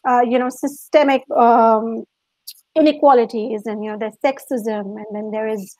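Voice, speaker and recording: A woman's voice: fairly high pitch, fairly even intonation, moderate pace, a little mellow, dry recording, poor audio. A woman from Pakistan answering interview questions as a guest coach, explaining things at length.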